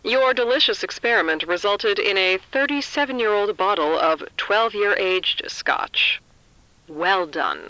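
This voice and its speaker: A woman's voice: medium pitch, a little slow, bright, forceful tone, no echo, rough recording. A woman playing a dry, pedantic research doctor with formal sarcasm in a scripted audio drama.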